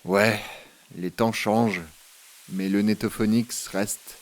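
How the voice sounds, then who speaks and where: A man's voice: on the low side, steady tone, takes it slowly. A man playing an easily rattled, sentimental travel companion in a comedic audio drama. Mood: wistful.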